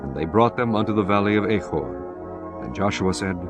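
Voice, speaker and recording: A man narrating scripture in a measured, solemn, formal way. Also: on the low side, expressive, muffled recording, over music.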